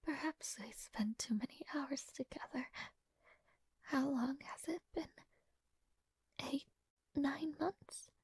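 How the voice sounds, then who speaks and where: A woman playing a frail, gentle young princess in an intimate fantasy audio roleplay, speaking in a breathy, hesitant, softly formal way. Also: high-pitched, slow pace, long pauses, no echo. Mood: weak, grateful, tender. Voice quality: breathy, whispery.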